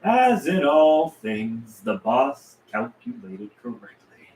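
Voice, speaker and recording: A man's voice: a little high, big pitch swings, slow pace, a little mellow. A man playing a cruel, menacing henchman with feigned politeness at a script table read. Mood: smug menace. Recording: roomy echo with clear background noise.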